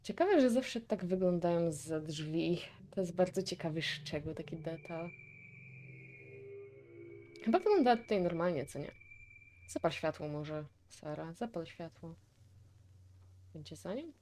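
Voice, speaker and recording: Polish female reaction host, low mellow voice, casual and musing, thinking out loud as she watches. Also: animated, measured pace, pauses often, a little hiss or hum. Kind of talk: casual reaction commentary on a horror video.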